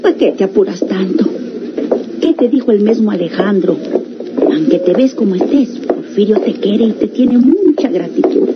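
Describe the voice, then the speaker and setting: An actress playing a gentle confidante in a Mexican radionovela, speaking soothingly with a Mexican accent. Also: female voice, mid-range voice, animated, normal speed, lightly acted. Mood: consoling. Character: gentle, consoling woman confidante. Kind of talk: golden-age radionovela acting. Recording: muffled, very noisy vintage radio recording.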